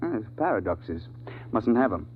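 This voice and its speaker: An actor playing a seasoned, unflappable big-game safari guide in a 1950s radio drama, measured and understated, with hesitant asides. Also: male voice, fairly low pitch, very animated, a little hiss or hum. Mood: explaining. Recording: muffled, band-limited vintage radio recording.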